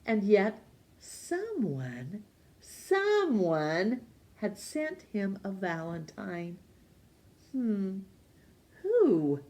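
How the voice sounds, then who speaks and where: A woman reading a children's storybook as narrator, expressive, with dramatic pauses and emphasis. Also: mid-range voice. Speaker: storytime reader.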